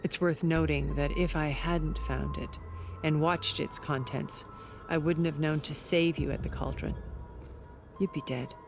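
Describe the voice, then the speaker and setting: An actress playing a composed, secretive, cultured woman in a video game, speaking in a measured, articulate, deliberate way. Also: low-pitched, steady tone, over music. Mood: admiring, assured. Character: composed, secretive, cultured older woman, former intelligence broker. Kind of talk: video game cutscene dramatic acting.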